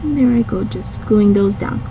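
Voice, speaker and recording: A woman with a slightly hoarse, raspy voice casually narrating her craft video, rambling with many ums and restarts. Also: medium pitch, moderate pace, noisy background. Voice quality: raspy, catching a cold. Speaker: scrapbooking channel host.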